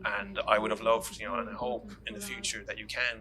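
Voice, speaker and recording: A man's voice: fairly low pitch, level tone, fairly quick, a crowd in the background, poor audio. A man hosts a live film Q&A, praising the guest and stumbling over repeated words. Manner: warm, enthusiastic, repeats words while searching. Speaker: event host and interviewer. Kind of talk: live onstage interview.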